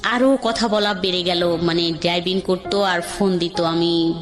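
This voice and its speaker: A woman's voice: on the low side, steady tone, a little slow. A woman with a Bangladeshi accent telling her own life story in a conversational, rambling, colloquial way. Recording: music under the voice.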